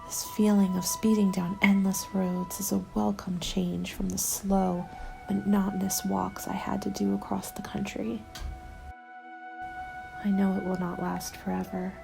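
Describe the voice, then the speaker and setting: A woman playing a weary, guarded survivor of a zombie apocalypse, narrating a diary in a hushed, breathy, measured ASMR style. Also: mid-range voice, little pitch movement, rough recording. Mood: wary, resigned. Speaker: narrator of an audio roleplay. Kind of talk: ASMR scripted first-person diary narration. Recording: music laid under the voice.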